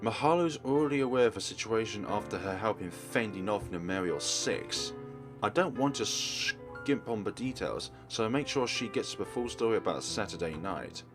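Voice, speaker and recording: Low-voiced man reading dramatically with lively swings. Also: moderate pace. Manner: reads in character, shifting between parts. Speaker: let's play host. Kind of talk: dramatic read-aloud of visual novel text. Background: soft game music.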